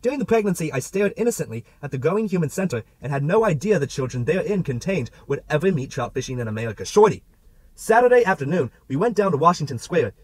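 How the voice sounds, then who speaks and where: A man's voice: fairly high pitch, big pitch swings, fast talker, poor audio. A man narrating a literary novel as an amateur audiobook reader, with a theatrical delivery.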